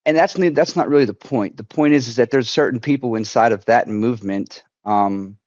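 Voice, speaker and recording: A man, a veteran, telling a personal story at a community council in a halting way, often restarting and quoting others. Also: medium pitch, darkish tone, no room sound, muffled recording. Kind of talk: personal story told to an online forum.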